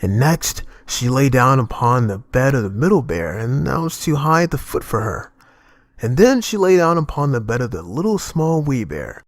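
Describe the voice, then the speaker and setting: A man narrating a children's tale as a storyteller, reading expressively in a measured manner. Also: mid-range voice, dry recording, studio quality.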